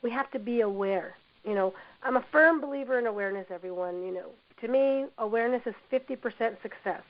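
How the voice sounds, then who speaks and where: A woman with a hoarse voice from a cold, presenting a workplace training webinar in an encouraging manner, often saying "you know". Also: fairly high pitch, fast. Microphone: computer mic on a webinar call. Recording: dull lo-fi web audio.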